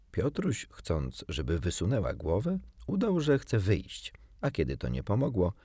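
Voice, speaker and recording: A man reading a children's storybook as an audiobook narrator, in an expressive scripted style. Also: low-pitched, husky, no echo, clean studio sound.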